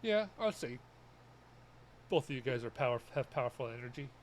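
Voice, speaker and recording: A man's voice: medium pitch, animated, a little fast, slight background noise. A man chatting casually with friends in short, easygoing remarks. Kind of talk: casual group chat.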